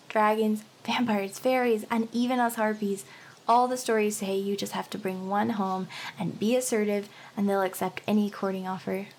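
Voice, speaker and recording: A woman's voice: medium pitch, a little hiss or hum, natural voice. A woman voicing a lovelorn young female harpy in an anime ASMR roleplay, earnest and stammering, sometimes dropping into whispers. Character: hyper, lovelorn young female harpy desperately courting a human.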